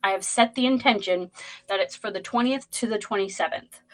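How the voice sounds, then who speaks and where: A woman's voice: mid-range voice, fairly quick, low-quality recording. A woman with a Boston accent hosting a zodiac reading livestream, chatting loosely with many fillers and greeting viewers in the chat. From Boston, USA. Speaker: tarot reader hosting a livestream.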